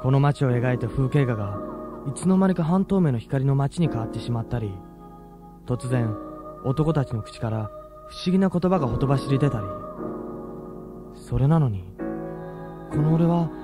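A man's voice: mid-range voice, expressive, moderate pace, pauses often, over music, close to an everyday voice. A young man acting in a radio drama as an earnest, brooding teenage schoolboy who speaks plainly. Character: teenage schoolboy kendo player, earnest and troubled.

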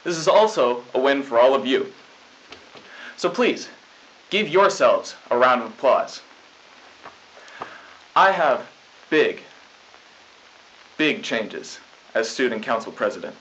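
A man's voice: mid-range voice, expressive, takes it slowly, long pauses, fairly bright tone. A young man anchoring a scripted school news broadcast from a studio desk, in a smug, upbeat manner. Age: young adult. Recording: lo-fi hissy old tape.

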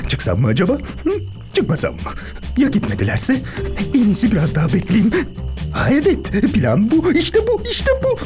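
A woman's voice: huge pitch swings, moderate pace. A woman voicing a pompous, vain grown rooster, a lifeguard and critic in a dubbed cartoon, showy and dithering. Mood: boastful. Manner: showy, boastful, dithering, squeaky swoops. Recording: cartoon soundtrack with music behind.

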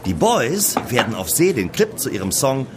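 Man playing an upbeat, wildly animated video director. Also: medium pitch, normal speed, very noisy, natural voice. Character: friendly, upbeat male music video director. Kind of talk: German children's audio drama acting.

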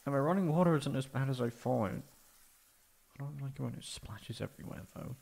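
Man voicing a creaky-voiced, prickly tsundere catboy. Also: mid-range voice, normal speed, darkish tone, slight background noise, natural voice. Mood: defensive, earnest. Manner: haughty, stammering when flustered. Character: flustered, prickly young tsundere catboy, secretly soft-hearted. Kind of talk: ASMR roleplay voice acting.

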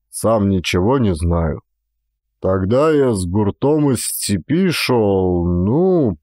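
A man's voice: on the low side, warm tone, no room sound, studio quality, voice pushed down. A man voicing a stolid, sly peasant Cossack daredevil who plays innocent, with serious rustic folk speech, in a dramatised audiobook. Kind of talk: dramatised audiobook character acting.

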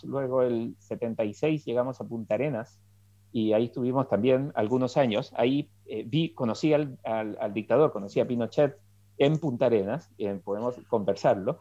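Guy on a video call, mellow voice, lots of ems and eh's, dull dry audio. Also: medium pitch, dry recording. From Chile. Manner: reflective storytelling with many fillers and restarts. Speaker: political scientist guest. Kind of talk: interview over video call. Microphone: video call headset.

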